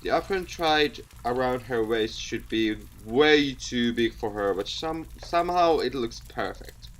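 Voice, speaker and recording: Theatrical let's-play narrator, unhurried pace. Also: mid-range voice, lively intonation, low-quality recording. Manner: stumbles over words and repeats phrases while reading. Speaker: video game streamer reading a game aloud.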